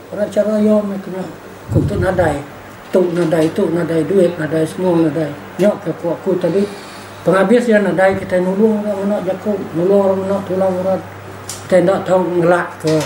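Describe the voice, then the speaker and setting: An older man, speaking informally and emphatically as an interviewee, often repeating himself and adding questioning tags. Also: sits high. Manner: emphatic, repetitive, with rhetorical tag questions. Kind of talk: informal spoken reflection. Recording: roomy echo, faint background noise.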